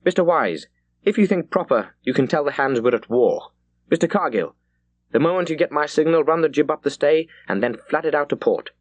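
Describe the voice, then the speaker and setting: A man voicing a curt, decisive British naval captain, barking crisp orders in an audiobook. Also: fairly high pitch, lively intonation, a little fast, pitched above the performer's own voice. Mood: brisk, excited. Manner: barks crisp orders, clipped and urgent. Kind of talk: dramatised audiobook character.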